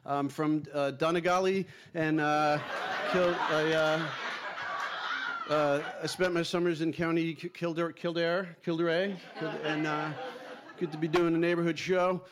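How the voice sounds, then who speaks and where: A man's voice: on the high side, little pitch movement, a little slow, background noise. New man doing stand-up. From New York. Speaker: stand-up comedian. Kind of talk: stand-up set.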